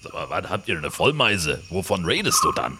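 A man voicing a gruff, incredulous, foul-mouthed survivor in parody film dialogue. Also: low voice, sing-song, normal speed, heavy background noise, pitched below the performer's own voice.

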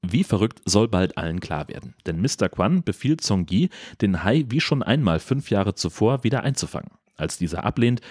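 A man's voice: low-pitched, a little mellow, no room sound, clean studio sound. A man hosting a podcast, reading a scripted, comedic film recap. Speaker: podcast host.

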